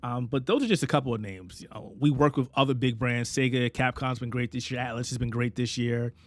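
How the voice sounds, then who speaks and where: A man's voice: medium pitch, brisk, no room sound. Earnest man with a fading voice. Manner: rambling, earnest, stumbles and restarts often. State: losing his voice. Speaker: head of a small gaming and anime media outlet. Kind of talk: casual talk to camera.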